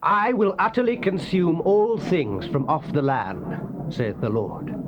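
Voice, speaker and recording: An actor playing a fiery prophet proclaiming God's wrath in solemn, archaic, declamatory scripture style. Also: male voice, on the high side, animated, a little slow. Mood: wrathful, proclaiming. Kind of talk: dramatized scripture reading, archaic King James English. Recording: muffled, noisy old cassette tape.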